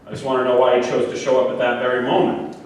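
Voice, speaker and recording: Echoey, distant actor as touchy middle-aged defendant. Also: male voice, mid-range voice, flat delivery, close to an everyday voice. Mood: defensive. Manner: halting, needling, indignant when challenged. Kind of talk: staged play reading. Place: live staged reading in an echoing room. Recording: echoey room, distant mic, background hiss.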